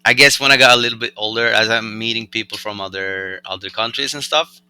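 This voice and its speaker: A young man with a Norwegian accent and a very bright, smooth voice, pitched a little low. He talks in a loose, rambling way, full of fillers, as a guest in a casual interview. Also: a little fast, no echo. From Norway. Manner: relaxed and rambling, many likes and fillers. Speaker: rapper guest.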